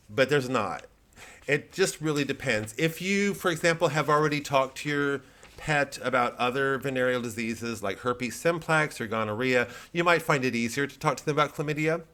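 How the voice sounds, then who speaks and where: A man who works as a comedian, talking in a casual, rambling vlog monologue with a deadpan delivery. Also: mid-range voice, moderate pace. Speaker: comedian promoting his live show.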